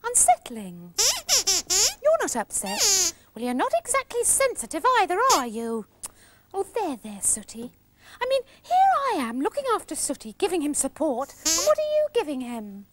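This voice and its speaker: A fussy, motherly little female panda in a children's puppet show, prim and a bit scolding with everyone around her. The voice sits extremely high and leaps all over the place. A steady hiss of noise runs under the recording. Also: moderate pace. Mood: soothing.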